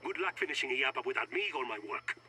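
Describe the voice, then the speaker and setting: An actor playing a tense, adamant man in a video game, hurried and forceful, heard on surveillance footage. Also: mid-range voice, animated, a little hiss or hum. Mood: indignant. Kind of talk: video game cutscene acting.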